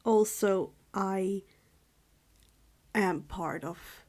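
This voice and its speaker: Hushed, slow-paced woman storyteller. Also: medium pitch. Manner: hushed, subdued, keeping the voice down; halting, stumbling over words and correcting herself. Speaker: storyteller reading fan fiction aloud for a channel. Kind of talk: informal chat, then read-aloud narration.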